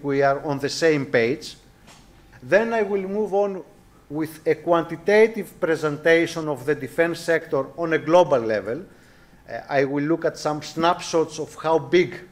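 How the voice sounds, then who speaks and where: A man with a Greek accent giving a formal academic lecture as a guest professor, courteous and measured, often restating his phrases carefully. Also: a little high. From Greece.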